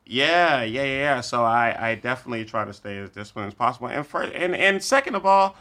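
A man's voice: medium pitch, lively intonation, a little fast, bright, forceful tone. A young adult man, a comedian guest, chatting casually in a laid-back, slangy, rambling way.

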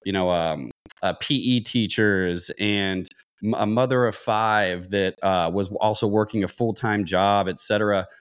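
A man's voice: fairly low pitch, steady tone, measured pace, smooth. A man in his thirties explaining things conversationally as an interview guest, thinking aloud and often restarting sentences. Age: 30s. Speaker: podcast guest, real estate investor.

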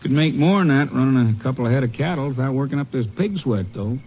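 An actor playing a grumbling, nervous thief's accomplice with an American accent and folksy slang, in 1950s western radio drama style. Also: male voice, mid-range voice, expressive, fast talker, natural voice. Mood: easygoing. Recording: muffled, noisy old radio recording.

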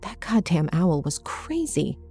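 A woman's voice: sits low, very animated, a little slow, warm tone, soft background music, full character voice. A woman voicing an anxious, headstrong and protective daughter in an audio story, urgent and exasperated. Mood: uneasy.

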